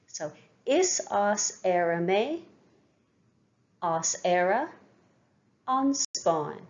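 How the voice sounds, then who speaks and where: Woman language teacher, unhurried repetition drills, dull lo-fi sound. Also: medium pitch, very animated, a little mellow. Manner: says each word several times slowly for learners to repeat. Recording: dull lo-fi recording.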